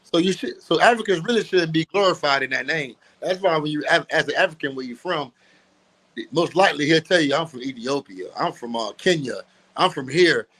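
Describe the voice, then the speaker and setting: A man's voice: fairly high pitch, expressive, brisk, a bit bright, slightly rough. A man teaching in an emphatic, preachy way, repeating phrases and laughing often. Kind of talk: street-teaching talk.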